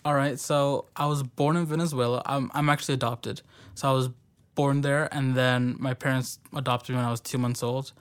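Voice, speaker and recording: A man's voice: medium pitch, normal speed, smooth. Young man co-hosting a campus podcast. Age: young adult. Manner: relaxed, friendly, rambling with fillers. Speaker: podcast co-host. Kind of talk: casual podcast chat.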